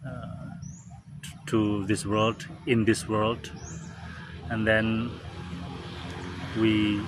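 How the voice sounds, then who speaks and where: A man in his forties whose first language is Indonesian, speaking slowly and reflectively with many pauses and fillers, outdoors under a tree. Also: lowish voice. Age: 40s. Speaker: vlogger. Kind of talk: reflective talk to camera. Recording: clear outdoor background noise. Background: birds, traffic and outdoor ambience.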